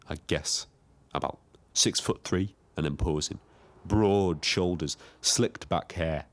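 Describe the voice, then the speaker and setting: Very deep-voiced, brooding British taxi driver. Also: male voice. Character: lonely, watchful male Brighton taxi driver. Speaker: narrator and lead character. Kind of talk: scripted first-person audio drama narration.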